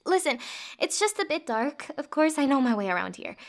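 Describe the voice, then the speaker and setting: A woman acting a playful, guarded young forager in a fantasy ASMR roleplay, with a teasing, halting delivery. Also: high voice, heavily acted. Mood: pained, flustered. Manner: teasing, halting, breathy little laughs.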